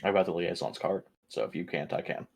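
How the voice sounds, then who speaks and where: A man's voice: low-pitched, lively intonation, fairly quick, creaky, a little mellow, slightly rough. A man playing a tabletop roleplaying game, speaking casually in and out of character and often thinking aloud with hesitations.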